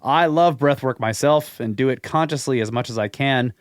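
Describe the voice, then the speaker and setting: A man in a podcast studio reads a listener's email and chats loosely about it. His voice sits in an ordinary middle pitch, and the room sounds dry and close. He comes across as easygoing and a little skeptical, with frequent chuckles. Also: normal speed, no room sound. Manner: laid-back, skeptical, chuckles often. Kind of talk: casual podcast chat and email reading.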